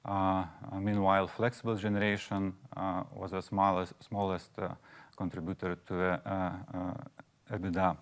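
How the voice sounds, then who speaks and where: A man's voice: low voice, flat delivery, normal speed, clear, resonant tone. A man giving a formal financial presentation as finance chief, with many hesitations.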